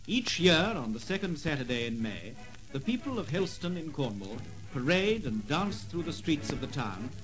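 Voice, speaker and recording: A man giving formal, measured documentary narration in a 1950s style on an old gramophone record. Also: on the high side, big pitch swings, music in the background, rough recording. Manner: formal, measured announcer delivery. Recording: vintage 78rpm gramophone record.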